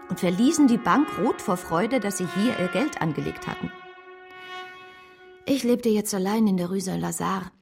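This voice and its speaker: A woman's voice: fairly low pitch, vocal fry. Reflective woman narrator, music underneath. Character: reflective woman narrating her past, devoted yet uneasy. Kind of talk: literary radio drama narration.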